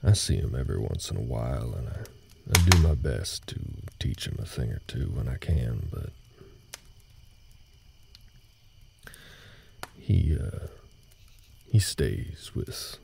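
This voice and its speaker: A man playing a gruff, world-weary but gentle cowboy ranch hand in an intimate audio roleplay, speaking in a halting, folksy way. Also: sing-song, full character voice. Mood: wistful, resigned. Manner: halting, low-key, folksy drawl-like phrasing. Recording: night ambience noise behind the voice.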